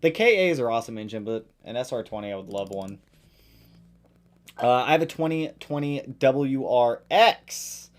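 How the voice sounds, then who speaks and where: A man hosting a casual live stream, reading out viewer questions and answering them in a chatty, relaxed way, his voice tiring after a long session. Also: normal speed. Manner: greets viewers loudly with sudden jumps in pitch.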